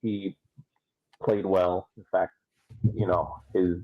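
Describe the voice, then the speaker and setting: A man co-hosting a podcast, giving casual sports analysis in halting, measured phrases. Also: deep voice, flat delivery. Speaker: podcast co-host. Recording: dull lo-fi audio.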